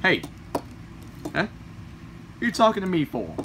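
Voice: medium pitch, wildly swooping pitch, normal speed. A person improvising voices for a plush toy skit in a noisy handheld home recording. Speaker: puppeteer voicing toy skits. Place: home room at a table, indoors. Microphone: handheld phone.

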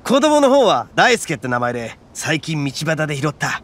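An actor playing a brash, boastful horned oni gang boss who talks in a rough, swaggering way. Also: male voice, a little high, sing-song, normal speed, fairly bright tone. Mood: fond. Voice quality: rough, swaggering, calls himself grandly. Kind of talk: Japanese game voice acting. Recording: game audio with faint music underneath.

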